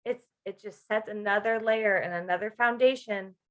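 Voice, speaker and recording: A woman's voice: medium pitch, moderate pace, a bit bright. A woman co-hosting a podcast, talking casually with playful, self-teasing banter. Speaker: podcast co-host.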